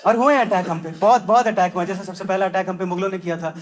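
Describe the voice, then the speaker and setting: A man's voice: high-pitched, fairly even intonation, quick pace. A young man from Delhi doing a conversational stand-up comedy set and briefly acting out the voices of locals. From Delhi, India. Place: comedy club stage with live audience. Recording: live crowd laughter. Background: audience laughter.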